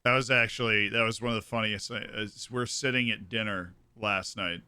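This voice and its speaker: Bright, sharp-toned young man. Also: lowish voice, steady tone, moderate pace, smooth. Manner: chatty, jokey, repeats words when thinking. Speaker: streamer host of a football watchalong. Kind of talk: casual chat reacting to a live match.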